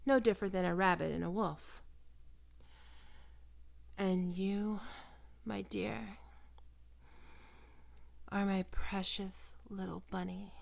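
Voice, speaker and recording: A woman voicing a possessive, predatory vampire doctor in an ASMR roleplay, breathy and often whispering, coaxing her prey with condescending, sensual words. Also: mid-range voice. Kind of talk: ASMR roleplay, intimate and slow.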